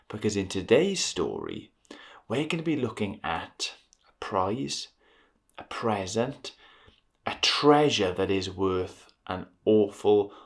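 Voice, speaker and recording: A man presenting and reading a story for children in a deliberate way, as host of a series. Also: on the low side. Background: music.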